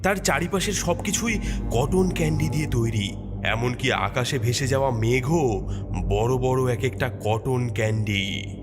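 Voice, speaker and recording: Lively male cartoon storyteller over music. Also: mid-range voice, a little slow. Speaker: narrator. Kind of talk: scripted storybook narration. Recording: music behind the voice.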